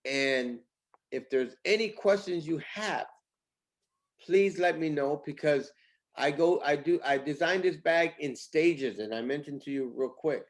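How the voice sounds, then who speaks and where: A man speaking as a company boss in a casual interview, pitching with emphatic, repetitive phrasing. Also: a little slow. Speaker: company CEO, interview guest.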